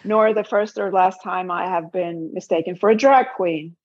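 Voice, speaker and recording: A woman with a low, husky, breathy voice tells a story in a relaxed interview chat. She talks in a chatty way and laughs along the way. The sound is dull and lo-fi. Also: normal speed. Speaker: singer guest.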